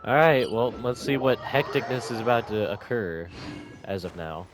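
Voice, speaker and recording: A man's voice: lowish voice, moderate pace. A man giving casual let's play commentary on a video game. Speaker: gamer commenting on gameplay. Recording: game music behind.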